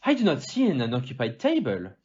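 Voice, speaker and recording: A man playing a polite, observant gentleman detective in an audio play, with a French accent and a formal, theatrical manner. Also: medium pitch, huge pitch swings, fast talker, breathy edge. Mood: courteous, observant. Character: polite, observant foreign gentleman detective with little grey cells. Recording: muffled audio, loud music behind.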